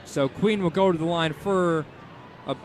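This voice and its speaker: An American man doing animated, excitable live radio play-by-play of a basketball game from an arena. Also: on the high side, measured pace. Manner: animated, excitable sportscaster delivery. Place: indoor basketball arena broadcast position. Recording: loud arena crowd noise behind voice.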